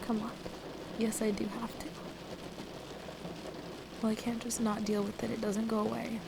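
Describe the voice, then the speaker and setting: A woman acting in an intimate ASMR roleplay drama as a distressed, defensive woman who wants to leave her partner, speaking in a halting, emotional way. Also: fairly high pitch, little pitch movement, vocal fry, heavy background noise. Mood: weary, subdued. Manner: halting, emotional, pleading conversational delivery. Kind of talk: intimate ASMR roleplay audio drama, one side of a conversation.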